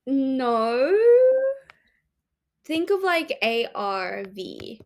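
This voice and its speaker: A young woman born in India who grew up in Australia, co-hosting a podcast in a relaxed, easy-laughing chat. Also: on the high side, extremely slow. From India, raised in Australia. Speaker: podcast co-host. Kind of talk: casual chat.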